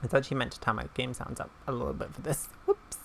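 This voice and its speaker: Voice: medium pitch, fast talker, creaky, fairly bright tone, a little hiss or hum. A host giving casual gameplay commentary, with scattered, playful asides. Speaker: gaming video host.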